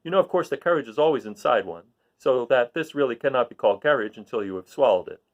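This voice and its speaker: A man's voice: mid-range voice, lively intonation, fast, muffled recording, lightly acted. A man voicing a little humbug wizard in a storybook, courteous and reassuring. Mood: instructive.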